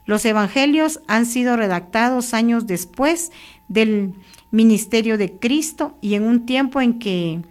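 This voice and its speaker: A Guatemalan woman hosting a church radio program, greeting listeners and reflecting on scripture in a steady, unhurried pastoral way from a radio studio booth. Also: on the high side. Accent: Guatemalan Spanish.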